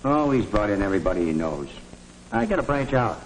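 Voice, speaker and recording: A man's voice: on the low side, very animated, brisk. A man playing a slick, callous small-time drug dealer in a 1950s educational film, with a casual, tough, slangy way of talking. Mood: hustling, businesslike. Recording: noisy vintage 1950s film soundtrack.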